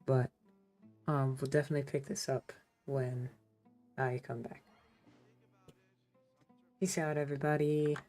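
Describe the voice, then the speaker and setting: A woman's voice: a bass voice, level tone, unhurried, long pauses, darkish tone, faint music behind. A woman streamer chatting casually while playing a game, laid-back and chatty. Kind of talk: casual gameplay commentary.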